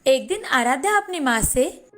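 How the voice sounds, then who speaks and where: A woman narrating a children's moral story with a steady, expressive delivery. Her voice sits high. Faint background music runs under the narration. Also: normal speed.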